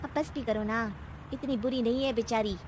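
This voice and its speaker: A woman playing a young, fond but exasperated and timid daughter-in-law in a children's story cartoon, with expressive, chiding delivery. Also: fairly high pitch, normal speed, a little hiss or hum, close to an everyday voice, low-quality recording. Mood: chiding, puzzled.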